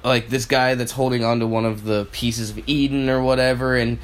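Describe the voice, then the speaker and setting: A man's voice: medium pitch, level tone, a little fast, clear, resonant tone. A young man co-hosting a podcast, telling stories in a casual, rambling, sweary way. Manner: profane, filler-heavy storytelling. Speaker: podcast co-host. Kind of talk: casual chat.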